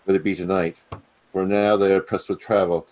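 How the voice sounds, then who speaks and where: A male actor as a treacherous nobleman plotting in a Shakespearean audio play. The voice is very low and dark, insinuating and conspiratorial. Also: little pitch movement, brisk, close to an everyday voice, poor audio. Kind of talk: Shakespearean radio play acting.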